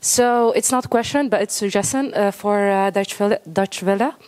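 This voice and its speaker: A woman's voice: mid-range voice, a little fast, darkish tone, clear, resonant tone. A woman from Tunisia making a hesitant suggestion from a conference audience, searching for her words. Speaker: NGO worker in the audience.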